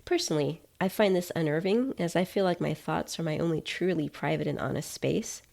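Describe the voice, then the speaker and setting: A woman reads her own scripted first-person narration. Her voice sits slightly low with noticeable fry, and her delivery is conversational and wry, like a writer hosting a podcast. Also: a little fast, a little mellow.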